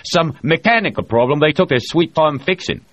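A man doing a loud, cursing, boisterous man. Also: fairly high pitch, lively intonation, normal speed, no echo, lo-fi sound, pitched above the performer's own voice. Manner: boisterous, swearing freely. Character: loud profane male miner-type storyteller.

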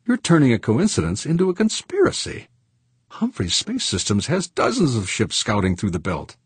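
A man voicing a cool, unruffled businesswoman who negotiates in a crisp, condescending, businesslike way in an audiobook. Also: mid-range voice, huge pitch swings, normal speed, no room sound, pitched above the performer's own voice, exaggerated voice.

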